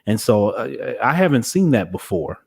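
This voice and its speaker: A man's voice: mid-range voice, big pitch swings, normal speed, gravelly, darkish tone, slightly rough. A man hosting a conversational podcast interview, wordy and hesitant, often circling back to rephrase his questions.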